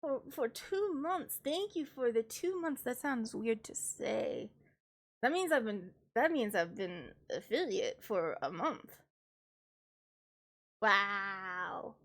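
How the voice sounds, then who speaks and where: A woman's voice: a little high, lively intonation, takes it slowly, fairly bright tone. A young woman streamer with a stuffed-up, congested voice, chatting casually and laughing at herself. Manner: chatty, self-deprecating, giggly asides. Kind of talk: casual chat.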